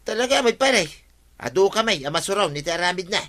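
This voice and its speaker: A gossipy village pal in an Ilocano radio comedy. His voice is highish and piercingly bright, grumbling along in an Ilocano accent. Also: expressive, quick pace, heavily acted.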